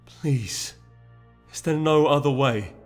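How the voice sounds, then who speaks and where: A man's voice: fairly high pitch, takes it slowly, soft background music, voice pushed up. A man voicing a loyal, anxious male pony aide, deferential and hesitant, in a fantasy audiobook. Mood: desperate, pleading. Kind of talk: dramatic audiobook character voice.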